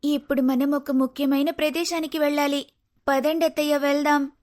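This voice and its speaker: A woman's voice: high voice, level tone, brisk, a little mellow, lightly acted. A woman voicing a bold, scheming undercover officer in a dramatic story, commanding and confident. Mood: determined. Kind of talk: dramatic moral-story voice acting.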